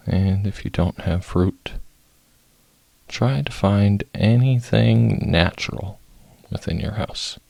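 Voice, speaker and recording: A man leading a guided meditation, soft-spoken and sometimes breathy, in short phrases with long pauses. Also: low-pitched, no echo.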